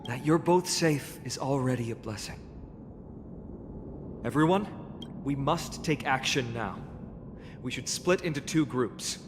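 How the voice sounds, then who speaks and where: A man's voice: mid-range voice, background noise, close to an everyday voice. Man playing a solemn warrior commander, reverberant. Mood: grave, resolute. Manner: measured, solemn, formal. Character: resolute warrior lord, grave commander, burdened avenger. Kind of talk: video game voice acting. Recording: long reverb, music under the voice.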